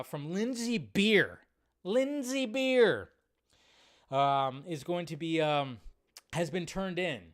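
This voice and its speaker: Man, smooth clean tone, higher-than-average pitch, casual live-show chat, rambling, informal. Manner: rambling, colloquial, swears casually; addresses viewers directly.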